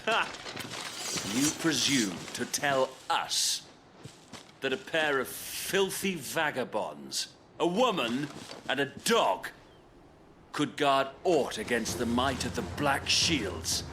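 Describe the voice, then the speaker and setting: A man's voice: medium pitch, big pitch swings, takes it slowly, noisy background. An actor playing a haughty, contemptuous enemy soldier in a video game, sneering and declaiming his threats. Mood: scornful. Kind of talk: theatrical video game villain acting.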